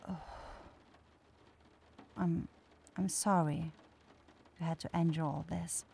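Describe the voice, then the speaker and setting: A woman's voice: low voice, steady tone, slow pace, gravelly, dark tone, a little hiss or hum, heavily acted. A woman playing a kind young forest witch in an ASMR fantasy roleplay, shy and caring, easily flustered into stammering. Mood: gentle, concerned.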